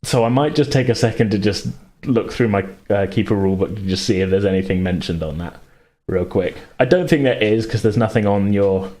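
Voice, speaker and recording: A man's voice: fairly low pitch, brisk, hardly any pauses, a little mellow. A man casually refereeing a tabletop game as game master, hesitant and easygoing as he thinks aloud.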